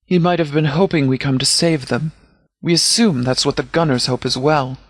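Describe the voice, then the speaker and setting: A man playing a stern, composed sheriff in a video game, speaking in a measured, formal, authoritative way. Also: a little high, no room sound, natural voice. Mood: businesslike briefing. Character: stern, composed male sheriff leading a post-apocalyptic militia. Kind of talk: scripted video game dialogue.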